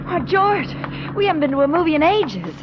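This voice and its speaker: A woman's voice: very high-pitched, big pitch swings, fairly quick. A woman playing a playful, loyal young sidekick to a detective, breezy and teasing, in old radio drama style. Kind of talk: 1940s-50s radio drama acting. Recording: muffled old radio recording, loud music, crowd.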